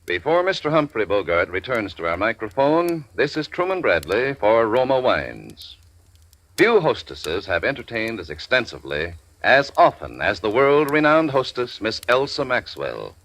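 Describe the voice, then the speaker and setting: Brief: lively-toned male pitchman, smooth and selling, old radio ad. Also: moderate pace. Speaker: commercial announcer. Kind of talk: 1940s radio commercial.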